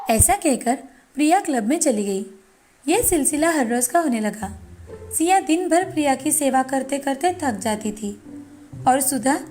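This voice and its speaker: A woman's voice: sits high, darkish tone. A woman narrating a scripted moral story as a storyteller.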